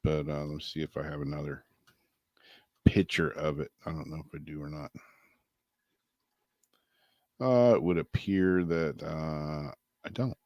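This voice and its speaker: A man's voice: very deep voice, fairly even intonation, moderate pace, a little mellow, no echo, lo-fi sound. A man co-hosting a casual vlog, reading odd news stories aloud with wry asides and chuckles. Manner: chatty, wry, laughs between stories. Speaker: co-host.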